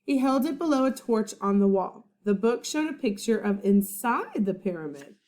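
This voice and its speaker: A woman with a fairly high voice reads a children's storybook as a first-grade teacher. She explains simply and checks understanding as she goes. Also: lively intonation, moderate pace, a little mellow. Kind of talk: reading a children's book aloud with recaps.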